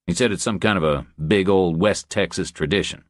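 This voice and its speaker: A man's voice: low voice, fairly quick, dry recording, clean studio sound, lightly acted. A man voicing a cool, laconic drifter investigator with a terse, dryly wry delivery in a crime audiobook. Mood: dry challenge. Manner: deadpan, terse.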